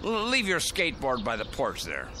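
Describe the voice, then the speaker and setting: A man's voice: medium pitch, huge pitch swings, normal speed, music in the background. An actor playing a cranky, nostalgic old man in a radio drama, grumbling and theatrical. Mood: coaxing. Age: older.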